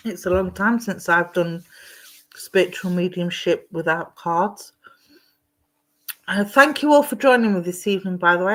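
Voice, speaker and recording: A woman giving a live-streamed psychic reading. She is gentle and reassuring, calls people darling and sweetheart, and rambles with ums and restarts. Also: mid-range voice. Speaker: psychic medium. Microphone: streaming setup at home.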